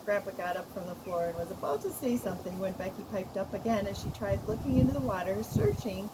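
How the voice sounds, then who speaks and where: Expressive woman reading aloud, very noisy recording. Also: mid-range voice, fast talker. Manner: expressive, amused. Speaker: children's author reading own book. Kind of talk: storybook read-aloud. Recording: noisy recording with loud background noise.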